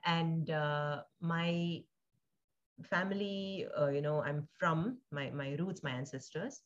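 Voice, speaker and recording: A woman born in India and raised in Singapore, speaking thoughtfully as an interview guest, with frequent pauses, fillers and restarts. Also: low voice, dull audio. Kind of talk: reflective conversational interview.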